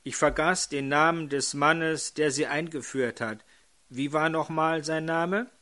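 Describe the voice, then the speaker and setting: An older man preaching a sermon in a hoarse voice, putting questions to his congregation. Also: a little high, steady tone, a little slow, bright, forceful tone.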